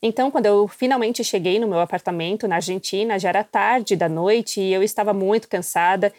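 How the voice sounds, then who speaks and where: A woman of middle pitch recalls her experiences candidly as a missionary guest. Her voice is recorded close and dry, with no room sound. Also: fairly quick, a little mellow, no room sound. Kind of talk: personal storytelling.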